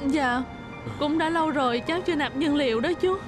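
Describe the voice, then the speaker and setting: A woman plays a caring young cartoon van who frets and speaks politely. The voice sits very high and thin. Music plays clearly beneath her. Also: a little fast, close to an everyday voice. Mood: anxious. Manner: anxious, polite. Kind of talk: cartoon dubbing.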